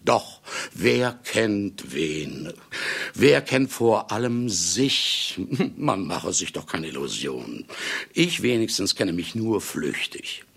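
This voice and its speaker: A vain, boozy older man of letters, with a low, gravelly voice. He swings theatrically between wry self-mockery and brusque command, his delivery highly animated. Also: heavily acted. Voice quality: gravelly, husky. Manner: theatrical, self-ironic, blustering, chuckling asides. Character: vain, boozy, celebrated male writer, brusque and self-mocking. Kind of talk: German radio play acting and narration.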